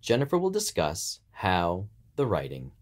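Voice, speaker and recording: A man's voice: deep voice, huge pitch swings, unhurried. A man co-hosting a podcast in a conversational style, as its producer.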